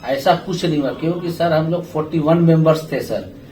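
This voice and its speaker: Man, worker spokesman, slightly high voice, respectful phone account, deferential address, noisy echoey room. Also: normal speed, dark tone. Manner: deferential, frequently adding "sir". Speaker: rescued tunnel worker, group spokesman. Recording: echoey room on speakerphone, clear noise.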